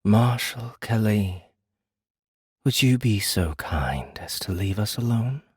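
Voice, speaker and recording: A man playing a menacing, courteous male fae prisoner in an audiobook, with a smooth, hushed voice and formal, deliberate delivery. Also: on the low side, animated, no echo, clean studio sound, exaggerated voice. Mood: icy politeness. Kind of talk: audiobook character acting.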